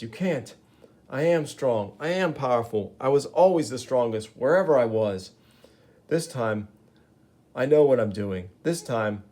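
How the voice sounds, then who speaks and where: A man voicing a touchy, shrill man in an ape suit who rants and pleads to be taken seriously. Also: natural voice. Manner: whining, rising to frantic yells.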